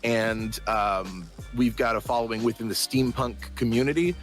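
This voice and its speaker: A man's voice: mid-range voice, moderate pace, soft background music, rough recording. A man chatting casually as an interviewed voice actor and musician.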